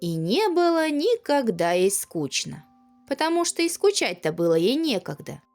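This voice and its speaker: A woman storyteller narrating a children's fairy tale in an expressive, folksy manner. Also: on the high side, normal speed, soft background music.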